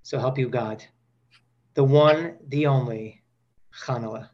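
A man's voice: medium pitch, slow pace, darkish tone, highs cut off. A man in his forties hosting a podcast, bantering in a joking, self-deprecating interview style. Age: 40s. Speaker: podcast host.

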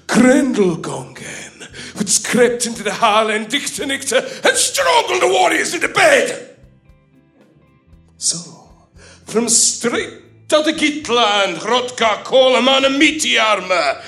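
High bright-voiced guy on a comedy stage, broken-english epic story, slow and shouty. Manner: mock-foreign broken grammar, shouting bursts, swearing. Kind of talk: comic retelling of an epic in broken old-world English. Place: small comedy club stage.

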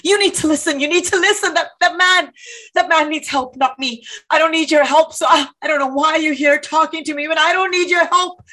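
A woman's voice: on the high side, big pitch swings, moderate pace, breathy edge. An actress playing an earnest, intellectual woman who challenges authority, speaking articulately and emphatically in dramatic dialogue. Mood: urgent, indignant. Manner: articulate, emphatic, rhetorical questioning. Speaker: actor in a stage play reading.

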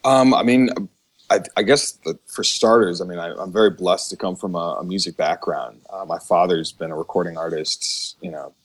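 A man's voice: deep voice, moderate pace. An American man from Chicago talking as a podcast guest in an informal, earnest and agreeable way. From Chicago, United States. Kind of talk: casual interview chat.

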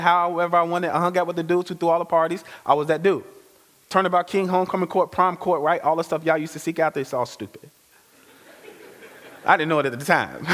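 A man giving a lively, conversational testimony sermon as a guest speaker, full of slang. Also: sits high. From Chicago. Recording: reverberant hall.

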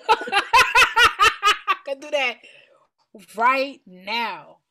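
A woman co-hosting a podcast, chatting casually about music in an animated, playful, colloquial way. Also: sits high, extremely slow. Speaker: podcast co-host. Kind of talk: casual chat and music commentary.

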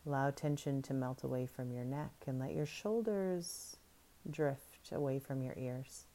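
A woman guiding a breathing meditation in soft, soothing, unhurried instructions. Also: rumbling low. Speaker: meditation guide.

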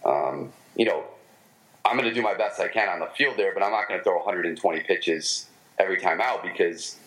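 A man with a Michigan American accent talks in a notably low voice. He is a former professional baseball player looking back on his career in a relaxed interview, and he often pauses, restarts and fills gaps with "um.". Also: poor audio. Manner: halting, many fillers and restarts. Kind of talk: casual interview storytelling.

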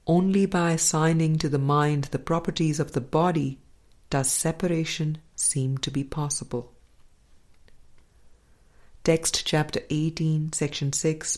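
Slow, low-voiced woman reading softly. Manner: slow, deliberate, soft-spoken with quiet trailing endings. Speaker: reader of a spiritual book. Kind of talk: measured audiobook-style reading with commentary.

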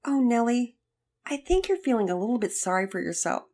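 A woman voicing a calm, firm storybook father who gently admonishes in a measured, plain-spoken way. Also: a little high, pitched above the performer's own voice. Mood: gently reproving.

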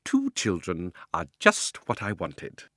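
A sinister old gentleman magician from a children's storybook. He is oily and mock-courteous, swooping theatrically between drawn-out relish and sudden gushing, in a close, dry sound. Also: sits low, wildly swooping pitch, no room sound, heavily acted. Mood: sly, menacing. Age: older. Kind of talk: dramatic audiobook character reading.

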